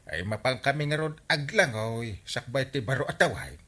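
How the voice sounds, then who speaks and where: An actor playing a flustered, bumbling village captain in an Ilocano radio comedy drama, exclaiming and stammering with an Ilocano accent. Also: male voice, medium pitch, expressive, moderate pace, pushed and bright, breathy edge. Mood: sheepish, nervous. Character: blustering, excitable village captain, a comic bumbler.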